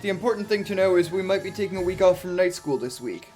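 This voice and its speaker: A man's voice: high-pitched, lively intonation, fast talker, over loud music. A man co-hosting with casual announcements in a hesitant way. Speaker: co-host.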